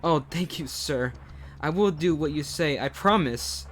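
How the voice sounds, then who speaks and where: A man plays a scared young creature boy with a tail, his voice pushed way up high and thin. He pleads and begs, strained and breathless. The reading is slow and bright. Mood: pleading.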